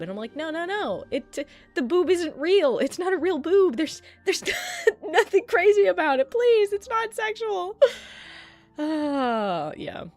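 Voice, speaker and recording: A woman livestreaming in a casual way, chatting with her viewers, swearing often and laughing a lot, with a sleepy voice. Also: medium pitch. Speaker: streamer. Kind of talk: casual livestream chat while setting up a 3D model. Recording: faint music underneath.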